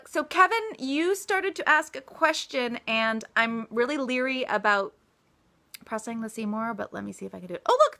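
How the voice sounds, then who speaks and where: A woman's voice: a little high, sharp tone. A woman author answering reader questions in a casual, chatty livestream style with emphatic asides.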